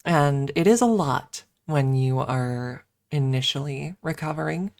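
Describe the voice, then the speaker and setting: A woman's voice: deep voice, unhurried, darkish tone, dry recording. A woman streamer chatting casually on an ASMR stream, rambling and candid as she explains things, close to the microphone. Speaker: livestream host and ASMR streamer.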